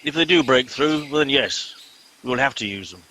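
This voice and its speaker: A man playing a pompous middle-aged captain in a comedy radio play, brisk, officious and commanding. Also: medium pitch, lively intonation, bright, forceful tone, slight background noise.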